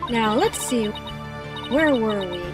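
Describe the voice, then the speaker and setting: A woman's voice: on the high side, lively intonation, slow. A woman playing a perky, feisty young rookie lawyer in a game fan dub, with an eager, instructive delivery. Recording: music under the voice. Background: music.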